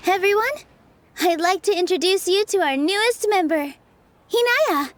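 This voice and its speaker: A woman voicing a warm, encouraging young female dancer in a game, speaking gently and without hurry. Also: extremely high pitch, moderate pace, slight background noise.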